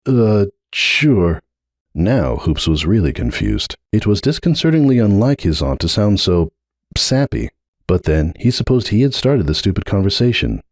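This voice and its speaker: A man's voice: sits low, sing-song, normal speed, a little mellow, no echo, studio quality. A man reading a story aloud as narrator, in a dramatic scripted narration style. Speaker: narrator of fan fiction readings.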